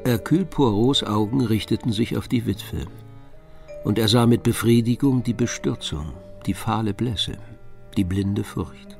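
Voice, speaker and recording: Creaky-voiced male story narrator. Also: lowish voice, a little mellow, soft background music. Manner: measured, literary storytelling.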